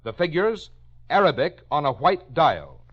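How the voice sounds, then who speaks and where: A man's voice: a little high, sing-song, unhurried. A man working as a radio announcer, reading a formal, carefully enunciated script in 1950s broadcast style. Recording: muffled 1950 radio broadcast, faint music.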